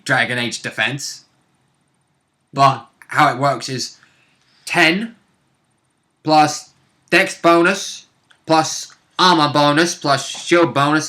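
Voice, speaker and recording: A man in casual, animated, slangy chat as a tabletop role-playing player, acting out moments from the game. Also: medium pitch.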